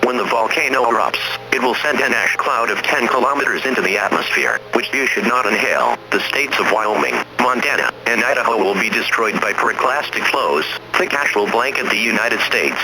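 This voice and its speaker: A man's voice: medium pitch, animated, pushed and bright, soft background music, low-quality recording. Formal male emergency alert announcer. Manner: formal, measured, impersonal. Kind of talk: scripted emergency broadcast announcement.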